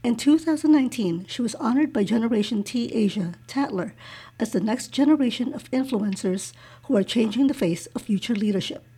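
A woman hosting an interview show, reading out a guest introduction and then asking soft, hesitant questions. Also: fairly high pitch, lively intonation, brisk, breathy edge, poor audio. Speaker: podcast host.

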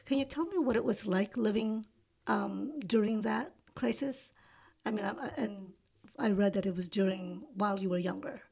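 A woman hosting an interview show, reading out a guest introduction and then asking soft, hesitant questions. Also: mid-range voice, normal speed, vocal fry, slightly rough. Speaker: podcast host.

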